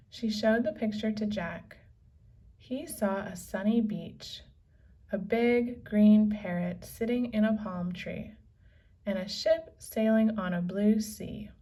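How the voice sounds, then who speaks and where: A woman reading a children's story aloud as narrator, with measured, deliberate phrasing. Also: medium pitch, dark tone. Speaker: storybook reader. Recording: slightly distant from the microphone.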